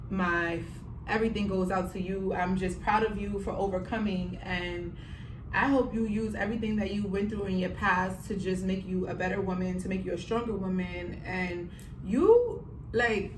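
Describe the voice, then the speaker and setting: Earnest young woman, echoey and distant. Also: mid-range voice. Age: 20s. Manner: earnest, repeats words for emphasis, pleading. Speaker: vlogger. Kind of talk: heartfelt direct-to-camera vlog. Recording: echoey room, voice a bit far from mic, noisy.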